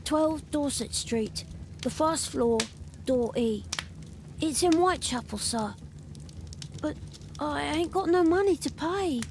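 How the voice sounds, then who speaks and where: High-voiced anxious London boy. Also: expressive, slow pace, pauses often, noisy background, heavily acted. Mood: timid, grateful. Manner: hesitant, respectful. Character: anxious, proud, poor young London boy. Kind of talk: video game voice acting.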